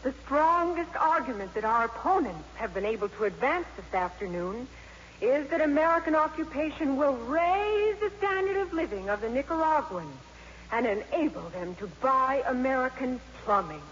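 A woman's voice: sits high, lively intonation, normal speed. An actress playing an earnest, impassioned teenage schoolgirl debater in 1950s radio drama, with an emphatic delivery. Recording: dull, noisy old radio broadcast, hall reverb.

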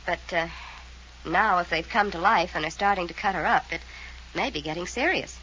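Skeptical young woman, medium pitch, dryly pitying, 1940s radio drama, dull recording with faint noise. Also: moderate pace, bright, forceful tone. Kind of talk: 1940s radio mystery acting.

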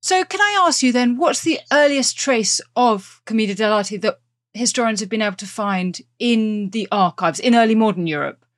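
A woman hosting a podcast, speaking in an articulate, measured way as she introduces topics and questions a guest. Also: a little high, expressive. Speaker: podcast host. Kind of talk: scripted introduction and interviewing. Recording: music under the voice. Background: music.

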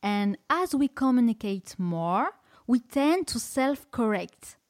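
French lady podcast host chatting, higher voice, strong on emphasis, really dry audio. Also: big pitch swings, takes it slowly, no echo. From France. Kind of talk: casual interview conversation.

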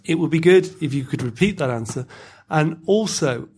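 A male interviewer with a slightly high, husky voice. He asks literary questions in a tentative, conversational way, often doubling back on his words as he frames them. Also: expressive, moderate pace, darkish tone.

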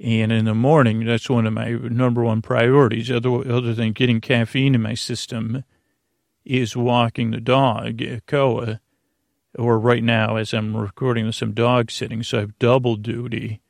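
A man rambling through a sleep podcast, drifting from one tangent to the next with plenty of fillers and restarts. His voice has a gravelly, fried edge. The sound is dry and close, with no room around it. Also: mid-range voice, little pitch movement, normal speed, no room sound. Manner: meandering, full of fillers and restarts, self-deprecating. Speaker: podcast host.

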